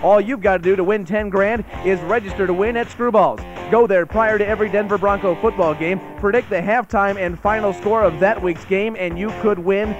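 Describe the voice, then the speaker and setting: An American man reading a high-energy scripted sports promotion for radio. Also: fairly even intonation, fairly quick, hardly any pauses. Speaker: radio ad announcer. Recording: dull radio recording, music behind.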